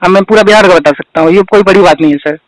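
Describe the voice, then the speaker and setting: High-voiced young man on the phone. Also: very fast, no echo. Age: young adult. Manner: polite but insistent, says sir constantly. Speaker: student answering a phone call. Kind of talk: phone conversation. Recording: recorded phone call.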